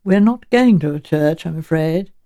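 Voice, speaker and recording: A woman voicing a courteous, frank male English professor in an audiobook, speaking in a measured and direct way. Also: sits low, expressive, dark tone, voice pushed down. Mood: frank, grave.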